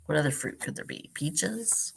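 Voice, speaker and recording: A woman with a low voice and a noticeable creak reads a storybook aloud for young children. She addresses them directly, stepping out of the text to explain things simply and ask little questions, in the way of a teacher. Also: lively intonation, moderate pace. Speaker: teacher reading a picture book to children.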